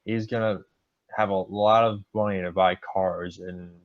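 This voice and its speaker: A young man co-hosting a casual sports podcast, joking around and talking with quick, animated asides. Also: low-pitched. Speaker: podcast co-host. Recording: dull lo-fi sound.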